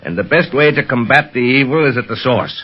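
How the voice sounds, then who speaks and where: An actor playing a calm, authoritative police chief in a vintage radio drama, speaking in a measured, commanding way. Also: male voice, medium pitch, husky. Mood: firm. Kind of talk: 1930s radio acting. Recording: dull lo-fi old radio recording.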